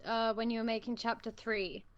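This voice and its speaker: A woman's voice: fairly high pitch, little pitch movement, normal speed. Giggly woman on a lo-fi call. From born in the United States, lives in England. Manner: chatty, giggly, lots of fillers. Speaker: co-host. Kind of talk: casual stream chat.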